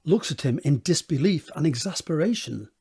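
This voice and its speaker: A man narrating scripted stage directions for an audio drama in a measured, descriptive reading. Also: on the high side, expressive, mellow, slightly rough.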